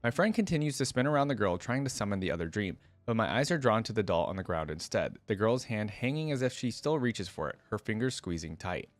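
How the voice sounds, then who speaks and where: A shy, clumsy young fawn girl from a fantasy storybook, read by a man in a fairly low voice. The telling is gentle and hesitant, first person, in a dry close recording. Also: sing-song, fairly quick, few pauses, no echo. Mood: timid wonder. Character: shy, timid, clumsy young female fawn dream spirit.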